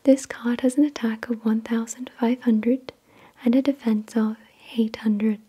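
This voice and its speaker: Breathy whispering woman, slow and soft. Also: on the high side, steady tone. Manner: measured, repetitive, gentle reading. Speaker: ASMR host. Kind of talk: whispered ASMR card unboxing, scripted reading.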